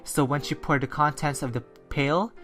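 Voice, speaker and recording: A radio narrator and newscaster reads in a steady, scripted manner at a middling pitch, like a school recreation of a 1930s broadcast. Soft music sits faintly behind the voice. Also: normal speed. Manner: steady, read-from-script delivery. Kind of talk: read-aloud 1930s-style radio narration and news.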